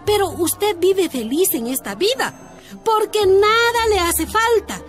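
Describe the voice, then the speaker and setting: Outspoken, indignant heroine, Bolivian Spanish, high animated voice, radio play with music. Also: female voice, slow pace, exaggerated voice. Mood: defiant. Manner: passionate, argumentative, indignant. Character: defiant, outspoken working-class woman. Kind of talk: radio drama acting.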